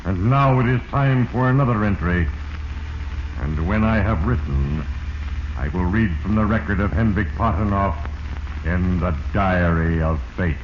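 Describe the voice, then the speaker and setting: An actor playing Fate, a stern, all-powerful narrator, speaking with solemn pomp in 1940s radio drama style. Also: male voice, low-pitched, measured pace. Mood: ominous, solemn. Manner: solemn, pompous, portentous. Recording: noisy lo-fi 1940s radio recording.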